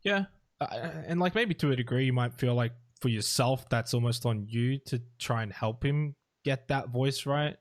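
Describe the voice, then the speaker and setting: Guy hosting a gaming podcast, chatty and rambling, mid-pitch voice. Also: normal speed. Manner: chatty and hedging, with lots of fillers. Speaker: podcast host. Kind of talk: casual podcast interview.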